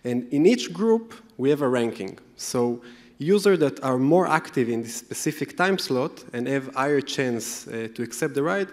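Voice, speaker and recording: A man with an Israeli accent explains things step by step to a live audience, pausing on "uh" and checking in with "okay?". His pitch is ordinary. Long reverb from a big hall surrounds his voice. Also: animated. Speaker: product manager.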